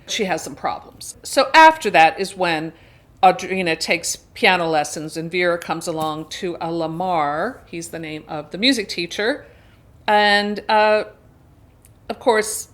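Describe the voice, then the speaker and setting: A woman's voice: on the low side, a bit bright. A woman casually retelling a story as a book reviewer, chatty and wryly amused, leaning on key words. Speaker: book reviewer and video host.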